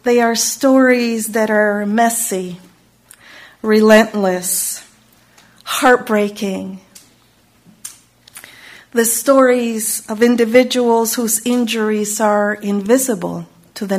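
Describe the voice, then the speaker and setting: A woman from Chile, giving a slow, reflective Unitarian Universalist sermon and lingering on single words. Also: fairly high pitch.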